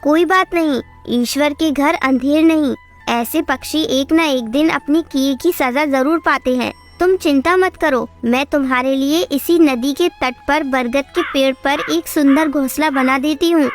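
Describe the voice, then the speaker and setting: Woman as a gentle, high-voiced magical golden fish. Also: unhurried, soft background music. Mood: reassuring. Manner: kindly, reassuring. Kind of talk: children's cartoon story acting.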